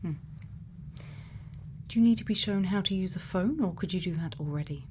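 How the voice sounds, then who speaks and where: A woman playing a quirky, gently probing scientist in a soft-spoken ASMR roleplay, murmuring unhurried questions and sometimes half whispering. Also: on the low side, a little hiss or hum, close to an everyday voice. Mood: calm, attentive. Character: quirky, gently probing female scientist programming a robot's memories.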